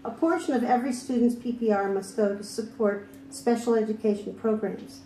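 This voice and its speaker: Woman, testimony, firm, pointed questions, mellow, roomy echo, faint noise. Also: fairly high pitch, brisk. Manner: reads firmly from notes, pointed questions. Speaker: former school district director testifying. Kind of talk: prepared public testimony. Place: hearing room. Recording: echoey room with faint background noise.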